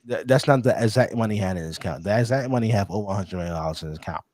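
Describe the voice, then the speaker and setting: A man co-hosting a casual sports podcast, talking in an animated, teasing way and chuckling often. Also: on the low side, very fast, no echo, clean studio sound. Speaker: podcast co-host.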